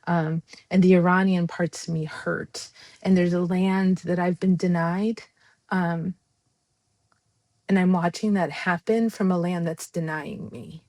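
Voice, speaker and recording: Podcast guest, woman, earnest and fervent, low-pitched, dry close sound. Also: steady tone, a little slow, a little mellow, dry recording. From United States. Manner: earnest and impassioned, frequent ums and restarts. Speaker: guest, democracy advocate. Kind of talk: conversational podcast interview.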